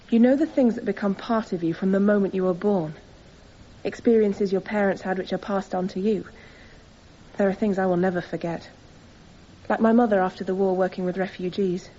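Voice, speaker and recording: Mellow, earnest young woman defendant played by an actress. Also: medium pitch, muffled recording, a little hiss or hum, natural voice. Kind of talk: radio courtroom drama acting.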